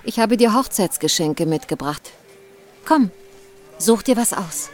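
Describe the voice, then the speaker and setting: A woman's voice: medium pitch, lively intonation, unhurried, natural voice. An actress playing a seductive, imperious queen in a literary radio drama, coaxing and playful in a languid manner.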